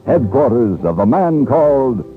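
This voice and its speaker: An American man announces a vintage radio drama in a slow, hugely swooping, declamatory style. Music plays behind him and the recording is tinny and narrow. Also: mid-range voice, sing-song. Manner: bold, declamatory. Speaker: program announcer.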